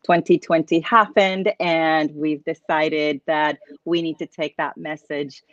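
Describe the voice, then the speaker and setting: A man's voice: on the high side, fairly even intonation, unhurried, a bit bright, dry recording. A man from Puerto Rico speaks as a guest coach, earnest and running his thoughts together in long sentences. His audio is dull and lo-fi, with a dry, close sound. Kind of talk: casual interview answers.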